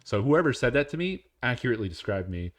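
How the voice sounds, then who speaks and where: A man with a deep, monotone voice, talking in an unhurried, casual way while he streams a game.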